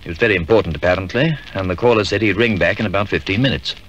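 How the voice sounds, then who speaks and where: A man's voice: low-pitched, very fast, a bit bright. A man playing a diligent, deferential police sergeant in a 1950s radio drama, respectful and inquiring. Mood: dutiful. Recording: dull, hissy 1950s radio recording.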